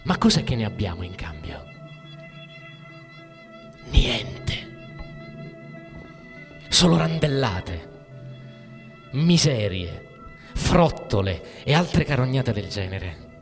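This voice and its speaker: A man's voice: on the low side, very animated, takes it slowly, vocal fry, pauses often, full character voice. An actor playing a bitter, cynical everyman in a declamatory theatrical monologue with music. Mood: angry, bitter. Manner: declamatory, swinging from muttering to shouting. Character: bitter, ranting, cynical everyman raging at the bosses. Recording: long reverberant hall, music behind.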